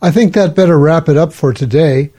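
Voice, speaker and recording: A man hosting his own podcast, talking in a relaxed, conversational way and reading listener emails aloud. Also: fairly high pitch, a little fast, breathy edge. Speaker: podcast host. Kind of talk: casual podcast talk.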